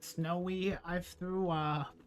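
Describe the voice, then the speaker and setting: A man's voice: sits high, little pitch movement, takes it slowly. A man giving casual, joking live commentary as a game streamer, rambling as he goes. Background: faint game music.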